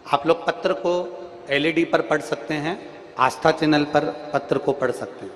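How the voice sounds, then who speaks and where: A man's voice: a little high, moderate pace, fairly bright tone. A man giving a fervent devotional sermon who repeats his phrases and reads a letter aloud to a large live gathering. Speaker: preacher. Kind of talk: devotional sermon with sung hymn. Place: large outdoor religious gathering. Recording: long reverb from a big venue, with music behind. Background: devotional music.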